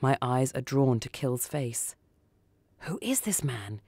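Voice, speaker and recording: Mellow man narrating a suspense story. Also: medium pitch, very animated, slow pace, no room sound. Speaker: story reader. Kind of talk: scripted first-person suspense narration for radio.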